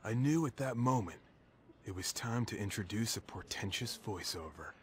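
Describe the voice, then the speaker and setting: A man's voice: fairly low pitch, expressive, moderate pace, a little hiss or hum, lightly acted. A man playing a self-aware young trader who narrates and comments on his own satirical video game movie. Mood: wry, musing.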